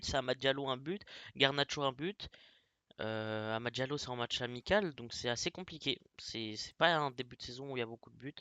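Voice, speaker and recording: A man's voice: mid-range voice, sharp tone, clear, resonant tone, no echo. A man from France with a cold, casually commenting on a football management game with lots of fillers and muttered asides. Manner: chatty, many fillers, drops to mutters while navigating menus. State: has a cold. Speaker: gaming YouTuber.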